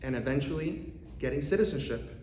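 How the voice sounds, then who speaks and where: A man in his thirties from Costa Rica with a Costa Rican accent, an economics professor giving an earnest, measured prepared talk to a congregation. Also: mid-range voice, expressive, a little mellow, slight background noise. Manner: earnest, measured, with occasional restarts. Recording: roomy hall reverb, faint ambience.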